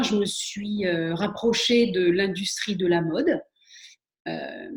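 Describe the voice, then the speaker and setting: A woman's voice: fairly low pitch, a little mellow. French woman telling her career story, echoey room. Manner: hesitant, many fillers and restarts. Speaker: interview guest, spa and wellness expert. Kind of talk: conversational interview answer.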